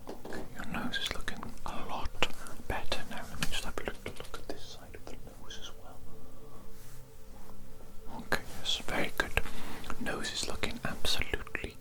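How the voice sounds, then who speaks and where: A man whispering in a breathy, unvoiced voice, playing an eccentric, fussy doctor in an ASMR roleplay, with repetitive phrasing and a close microphone. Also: moderate pace. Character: eccentric, fussy evil doctor pampering a captive patient. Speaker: ASMR performer. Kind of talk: whispered ASMR roleplay of a personal grooming session.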